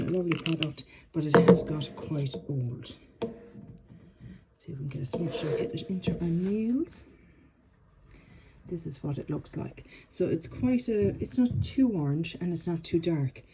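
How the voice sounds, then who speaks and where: A middle-aged woman with a slightly low voice talks in an easygoing, rambling way, her nose stuffed up from a cold. She records herself at home, and clear background noise runs under her voice. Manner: relaxed and chatty, with frequent ums. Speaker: beauty vlogger. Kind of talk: casual chatty product review.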